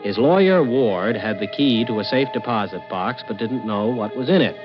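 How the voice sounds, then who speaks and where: An actor playing a scheming, greedy crook who also narrates, persuasive and glib, in 1940s radio suspense style. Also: male voice, mid-range voice, expressive, moderate pace, close to an everyday voice. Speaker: protagonist and narrator. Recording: dull old radio recording with music.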